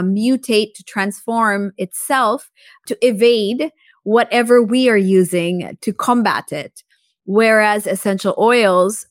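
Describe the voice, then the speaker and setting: A woman's voice: mid-range voice, dry recording. Podcast host, woman, reflective conversational delivery, dry sound. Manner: thoughtful, with frequent "you know" fillers. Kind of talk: conversational interview.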